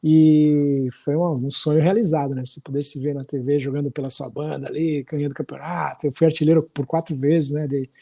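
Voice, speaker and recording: Paulistano guy in his 40s, chatty rambly storyteller, kinda high but mellow voice. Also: brisk, creaky, few pauses. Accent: Paulistano Brazilian Portuguese. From São Paulo, Brazil. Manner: relaxed, rambling, with frequent tag questions. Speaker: podcast guest, musician. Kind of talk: casual storytelling chat.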